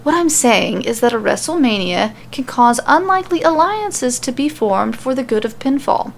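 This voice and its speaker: A woman's voice: fairly high pitch, animated, moderate pace, gravelly, a little hiss or hum. A woman voicing a level-headed, condescending woman magic user on a quest, matter-of-fact, in an audio story. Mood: insistent.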